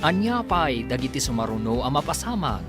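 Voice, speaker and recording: A man's voice: medium pitch, sing-song, a little fast, lightly acted. A man announcing a radio drama in a dramatic, scripted style. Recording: loud music behind the voice. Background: music.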